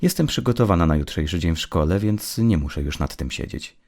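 A man voicing an eager, earnest teenage girl, a caring sister who talks persuasively, in a dark audio story. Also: sits low, animated, brisk. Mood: determined. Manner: pleading, keen, persuasive.

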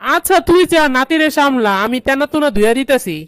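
A woman's voice: sits high, animated, fairly quick, no room sound, studio quality. A woman voicing a bossy, fussing grandmother in a comedy cartoon, with a Bangladeshi accent and a commanding, insistent manner. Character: bossy, fussing grandmother, doting mother-in-law. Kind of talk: comedy cartoon dialogue in colloquial dialect.

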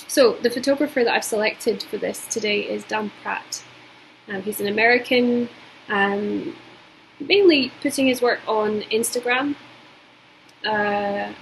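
A Scottish woman in her forties talks through an art lesson into her webcam at home, measured and thoughtful, pausing on plenty of ums. Her pitch sits in an ordinary middle range. A faint hiss sits under the recording. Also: rough recording. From Scotland. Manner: reflective, measured, with many ums. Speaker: art teacher. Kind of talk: recorded video lecture. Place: indoors at home.

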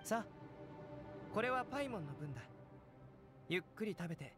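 Young scholar for fantasy game. High male voice, composed, polite, analytical, faint music behind. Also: big pitch swings, normal speed. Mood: gentle, detached. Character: young male alchemist, calm, curious, detached scholar. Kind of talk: anime video game acting.

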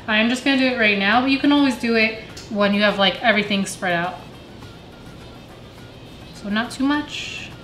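A woman calmly explaining a dessert recipe step by step in a casual home cooking video. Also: mid-range voice. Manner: calm step-by-step explaining with fillers. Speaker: home cook guest. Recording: echoey room, faint music.

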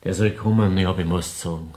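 An actor playing a bitter, woman-hating killer in a crime radio drama, confessing deliberately in Viennese dialect. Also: sits low, fairly even intonation, warm tone, lightly acted. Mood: insistent. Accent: Viennese Austrian. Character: confessing opera-house murderer, brooding, bitter woman-hater in his thirties.

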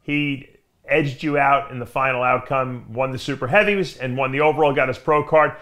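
A middle-aged man hosting a video interview show from a studio, asking questions with lots of quick interjections. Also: mid-range voice. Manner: energetic, interjecting often. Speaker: host. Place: studio with branded backdrop.

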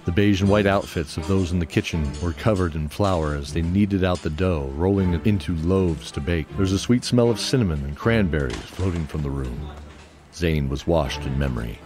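Low-pitched man narrating a fantasy tale. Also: normal speed. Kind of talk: scripted fantasy audio drama narration. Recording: music under the voice. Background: music.

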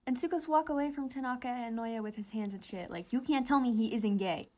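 High-voiced, gushing young woman. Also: brisk, dark tone. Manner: gushing, giggly, sweary. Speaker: fan comic dubber. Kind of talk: reading comics aloud with casual fan reactions.

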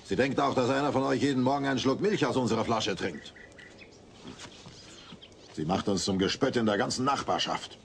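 An actor playing an exasperated, grumbling married neighbour with a gravelly voice in a German sitcom audio drama. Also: male voice, mid-range voice, normal speed, long pauses, close to an everyday voice. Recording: faint noise, old cassette audio drama.

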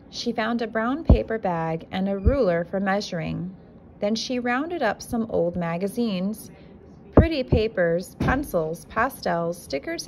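A woman who is a kindergarten teacher reads a picture book aloud to young children with expressive, deliberate storytelling. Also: mid-range voice, rough recording. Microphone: handheld phone filming the book. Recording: noticeable background noise, amateur phone recording.

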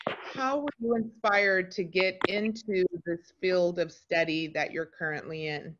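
Polite woman moderator, low voice. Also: fairly even intonation, noisy background. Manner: polite, measured. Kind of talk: moderating a panel. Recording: dull lo-fi video call audio.

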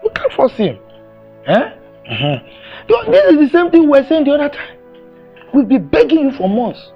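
An actor playing a family elder who reasons and reproaches in turn. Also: male voice, very high-pitched, wildly swooping pitch, normal speed, highs cut off, faint music behind, full character voice. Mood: reproachful.

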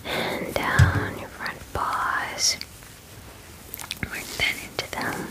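A woman in her twenties whispering a gentle, soothing ASMR personal-attention roleplay in a breathy voice, close to the mic. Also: poor audio. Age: 20s. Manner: gentle, soothing, unhurried reassurance. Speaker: ASMR artist playing a spa groomer. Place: small room at home, indoors. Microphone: close microphone, near the camera.

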